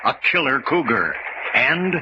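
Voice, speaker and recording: A man's voice: mid-range voice, very animated, measured pace, very noisy. A man reading dramatic scripted announcements as a radio announcer. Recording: dull lo-fi radio recording. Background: loud organ music.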